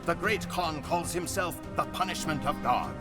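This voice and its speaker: An actor playing a Mongol chronicler, narrating a game cutscene in a grave, measured storytelling manner. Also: male voice, a little high, natural voice. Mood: solemn. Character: male Mongol chronicler narrating war history. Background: music.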